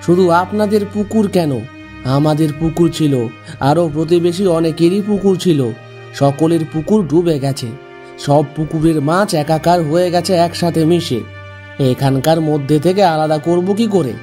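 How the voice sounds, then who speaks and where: A man's voice: fairly high pitch, normal speed, mellow, faint music behind, close to an everyday voice. A man playing a poor, honest village farmer in a cartoon, earnest and plaintive. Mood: pleading protest. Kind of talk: cartoon voice acting.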